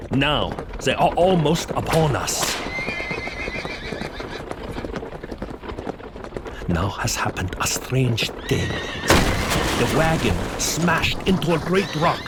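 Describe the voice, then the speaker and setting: An actor playing a grave older vampire-hunting professor, narrating a gothic radio play with measured, stilted foreign phrasing. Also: male voice, medium pitch, animated, heavy background noise. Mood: tense, grave. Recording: dramatic music behind the voice.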